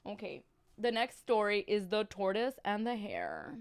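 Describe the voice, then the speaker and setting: A woman's voice: mid-range voice, huge pitch swings, a little slow, smooth. A woman reading children's stories aloud in a comic, casual way, with sarcastic, chatty asides and slangy Spanish interjections. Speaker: storyteller on a livestream.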